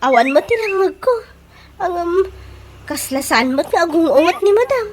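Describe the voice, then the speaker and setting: A nervous young female employee in a radio comedy drama. Her voice is strikingly high, with an Ilocano accent and a habit of gossipy reporting, over clearly audible background music. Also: animated, normal speed. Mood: nervous gossip.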